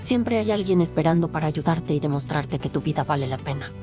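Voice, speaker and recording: A woman's voice: low-pitched, animated, brisk, mellow, soft background music. A woman reading a scripted public service message.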